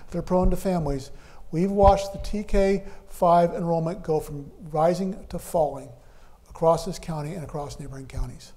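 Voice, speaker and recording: A man's voice: high voice, warm tone. An older man, a demographer, giving a methodical public presentation at a city meeting. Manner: explanatory, methodical.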